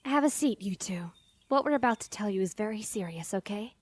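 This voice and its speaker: A woman acting in a fantasy audio drama as a calm, firm mother and magical guardian, speaking seriously and deliberately. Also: mid-range voice, no room sound, close to an everyday voice.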